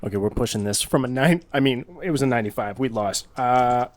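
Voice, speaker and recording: A man's voice: mid-range voice, fast talker. A man chatting casually as a player in a tabletop role-playing game. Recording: faint music behind the voice. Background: faint background music.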